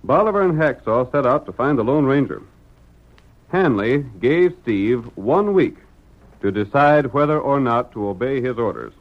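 Animated old-time radio narrator, a man. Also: medium pitch. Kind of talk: 1940s radio scripted narration. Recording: dull vintage radio recording.

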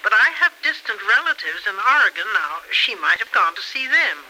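A woman's voice: medium pitch, sing-song, normal speed. An actress playing a genteel grandmother with effusive, well-mannered phrasing in a radio soap opera in the style of the 1940s. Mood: resigned, helpful. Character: anxious, grateful grandmother, well-mannered, resigned about her daughter. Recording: old noisy radio recording, sharp sound.

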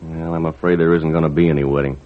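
A man playing a kindly, easygoing lobster fisherman in an old-time radio drama, folksy, gentle and a little hesitant. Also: extremely low pitch, flat delivery, fast talker. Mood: sheepish. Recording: narrow, tinny old radio recording.

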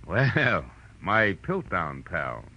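An actor playing a brash, wisecracking private eye with clipped, sardonic delivery, narrating and acting in 1940s radio noir. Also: male voice, deep voice, sing-song, drawn-out words, sharp tone, husky, heavily acted. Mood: dry, wisecracking. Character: brash, wisecracking male hard-boiled private detective. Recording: faintly noisy old radio recording.

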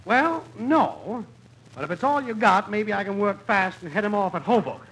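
A man's voice: high-pitched, big pitch swings, normal speed, noisy background. An actor playing a melodramatic, self-important con man posing as an urgent patriot in old-time radio comedy. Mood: sly scheming.

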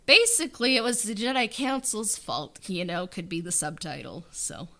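A woman's voice: mid-range voice, moderate pace, a bit bright. A woman in a casual interview chat about creative work, talking hesitantly and rambling with lots of filler words. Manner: hesitant and rambling, leans heavily on "like" and "you know". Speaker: writer and director of a fan audio drama being interviewed. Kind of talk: casual behind-the-scenes chat.

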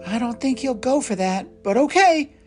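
A woman voicing a gentle, patient mother in a children's story, soft and coaxing. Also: on the high side, lively intonation, moderate pace, over music, pitched above the performer's own voice, lightly acted. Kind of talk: storybook character voice.

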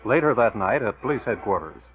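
A man's voice: fairly low pitch, expressive, a little fast, phone-quality sound, over loud music. An American man working as a radio announcer, reading scripted copy in a 1940s style. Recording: thin old radio broadcast.

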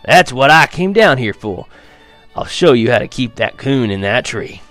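A man voicing a cheerful, booming old grandfather in a spoken story, loud and hearty. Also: very animated, normal speed, soft background music.

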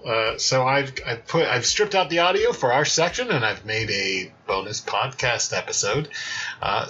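A man hosting a podcast, giving a casual, halting introduction in a conversational way on slightly lo-fi audio. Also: mid-range voice, sharp tone, slight background noise. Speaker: podcast host.

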